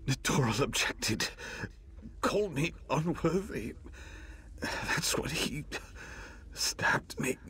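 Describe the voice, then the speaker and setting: A wounded male cultist in a fantasy game, regretful and straining through pain. His voice is fairly high and swings widely, dragging slowly over a faintly noisy ambience. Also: lively intonation, fairly bright tone, slightly rough, heavily acted. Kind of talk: video game voice acting.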